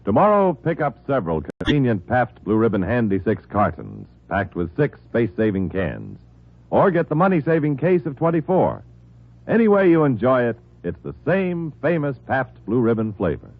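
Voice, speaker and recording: Old time american radio ad guy, lively up and down pitch, polished sales pitch, tinny, music behind. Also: normal speed. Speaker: radio announcer. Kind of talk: 1950s radio sponsor announcing, scripted. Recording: tinny 1950s radio recording.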